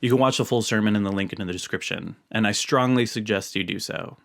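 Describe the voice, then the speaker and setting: A man speaking as a video host, giving reflective commentary to viewers in an earnest, measured manner. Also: lowish voice, vocal fry.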